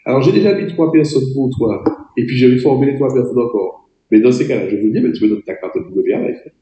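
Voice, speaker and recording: A man's voice: medium pitch, quick pace, warm tone, roomy sound, lo-fi sound, slight background noise. A man with a cold and a French accent, giving an emphatic webinar training over a video call, exhorting his audience directly. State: has a cold, voice a bit off. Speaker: network marketing trainer.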